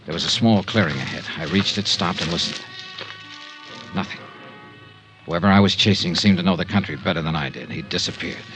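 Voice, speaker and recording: A man's voice: low-pitched, brisk, pauses often, over music, natural voice. An actor playing a tough, wry male investigator in a 1950s radio detective drama, with clipped, wary delivery. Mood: tense, watchful. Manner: clipped, hard-boiled. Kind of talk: 1950s radio detective drama acting, first-person narration. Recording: noisy old radio recording with clicks.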